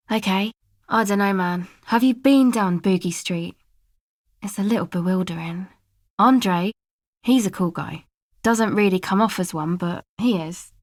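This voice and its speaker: A woman's voice: medium pitch, normal speed, no room sound, close to an everyday voice. Woman playing a laid-back, guarded young club girl, dry sound. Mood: casual. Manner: offhand, slangy, hesitant asides. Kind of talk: casual video game dialogue.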